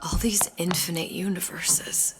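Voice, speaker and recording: An actress playing a weary, guilt-ridden young woman in a video game, speaking in a haunted, halting, introspective way. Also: fairly low pitch, steady tone, in a whisper, music in the background, close to an everyday voice. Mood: weary, regretful. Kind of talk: dramatic video game acting.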